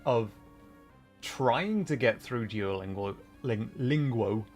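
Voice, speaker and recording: A man's voice: medium pitch, takes it slowly, darkish tone, faint music behind. A man hosting a livestream, chatting casually as he plays a game, with an apologetic, self-deprecating manner. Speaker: livestream host. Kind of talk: casual chat while streaming a game.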